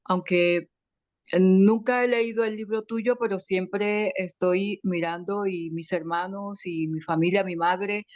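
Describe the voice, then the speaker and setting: A woman's voice: mid-range voice, steady tone, darkish tone, dry recording. Woman w a slight foreign accent telling her story, emotional, lots of restarts, muffled dry call audio. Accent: slight foreign accent in Spanish. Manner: tearful, grateful, halting with restarts. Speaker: webinar participant. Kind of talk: emotional personal testimony. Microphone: video call.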